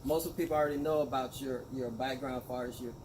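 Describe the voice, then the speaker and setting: A man's voice: medium pitch, steady tone, fast. A man interviewing a guest, who often affirms with "okay, okay" and phrases his questions loosely, restarting as he goes. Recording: noisy background.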